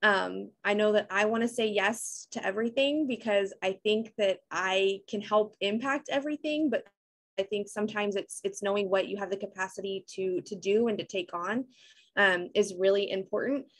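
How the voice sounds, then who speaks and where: A woman's voice: medium pitch, normal speed. A woman in her thirties moderating a panel over a video call, in a friendly, reassuring manner. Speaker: panel moderator, civic engagement director. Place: indoor room on video call.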